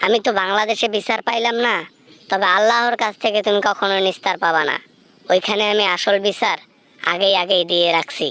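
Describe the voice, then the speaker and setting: A woman's voice: medium pitch, fairly even intonation, fairly quick, fairly bright tone, clean tone, natural voice. A woman playing a wronged ghost demanding justice in an amateur phone-call skit, solemn, reproachful and threatening. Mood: vengeful.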